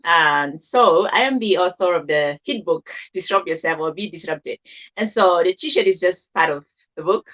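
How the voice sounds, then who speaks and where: Woman, from Cameroon, author guest, friendly, easygoing, fairly low voice. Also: normal speed, a bit bright. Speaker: author and speaker. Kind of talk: interview guest.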